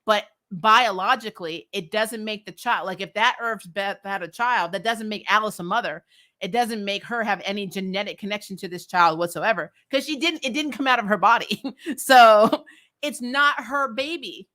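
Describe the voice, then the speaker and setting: A woman hosting a recap vlog, chatting casually and answering comments with strong opinions, in an emphatic, blunt, animated manner that includes swearing. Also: mid-range voice, moderate pace. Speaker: host of a TV show recap vlog.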